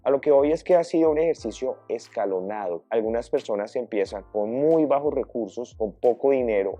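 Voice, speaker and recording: A man's voice: lowish voice, mellow, dry recording. A man, a financial advisor in his thirties, coaching the viewer in a direct, earnest way. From Colombia. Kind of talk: direct-to-camera advice talk.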